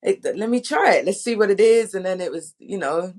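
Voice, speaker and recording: A woman's voice: mid-range voice, expressive, darkish tone. A woman speaking as a director in a casual interview, chatty and often interrupting herself. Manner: chatty, halting, self-interrupting. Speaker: director, panel guest.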